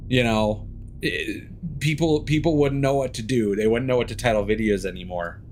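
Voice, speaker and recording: A man's voice: medium pitch, moderate pace, a little mellow, a little hiss or hum. A man chatting casually as he streams a game, rambling into sarcastic, exasperated rants. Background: game music.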